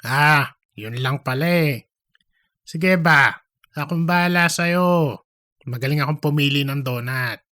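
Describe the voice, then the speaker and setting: Someone acting a gossipy office coworker in an audio comedy, bright punchy voice, super dry sound. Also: medium pitch, a little slow, long pauses, no echo. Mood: casual.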